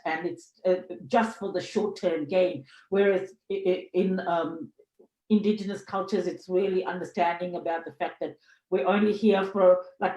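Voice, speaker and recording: A woman from Sri Lanka moderating a panel discussion, speaking earnestly with many pauses and fillers. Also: lowish voice, a little mellow. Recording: roomy echo.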